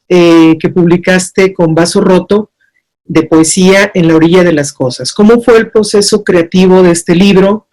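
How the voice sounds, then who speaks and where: A woman hosting an interview, putting her questions in a formal, deliberate way. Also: on the low side, darkish tone, rough recording. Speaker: interviewer, host.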